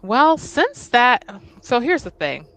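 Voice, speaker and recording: A woman playing a tabletop roleplaying game, talking casually and thoughtfully about her character with halting phrasing. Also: fairly high pitch, very animated, a bit bright.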